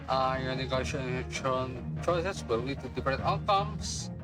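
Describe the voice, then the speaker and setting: A streamer with an androgynous voice reacts casually to a game. The delivery is slow, slangy and teasing, with mock scolding. Game music is clearly heard behind the voice. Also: mid-range voice.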